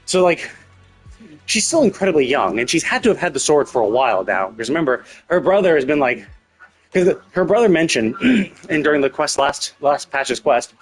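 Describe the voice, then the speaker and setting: Higher-voiced man rambling on a livestream. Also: sing-song, normal speed, husky, soft background music, poor audio. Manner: rambling, thinking aloud. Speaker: live streamer. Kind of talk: casual game story commentary.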